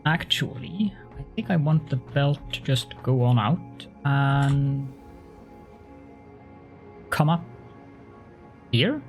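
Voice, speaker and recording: Slow-talking man streaming a game. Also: medium pitch, faint music behind. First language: Norwegian. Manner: chatty, hesitant, lots of fillers and restarts, chuckles often. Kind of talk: casual live-stream chat while playing.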